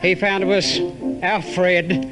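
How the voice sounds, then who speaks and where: A man's voice: sits high, level tone, takes it slowly, music in the background. A man trading jokes and rhymes on an old-time radio show, hesitant and playful. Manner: hesitant, jokey delivery. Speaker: radio show cast member. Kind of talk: comic radio banter.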